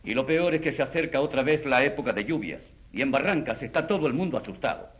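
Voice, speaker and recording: An actor playing an aggrieved village schoolteacher on the witness stand in a radio drama, speaking plainly and bitterly. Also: male voice, mid-range voice, lively intonation, quick pace, fairly bright tone, close to an everyday voice. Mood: bitter, worried. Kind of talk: dramatic radio play acting.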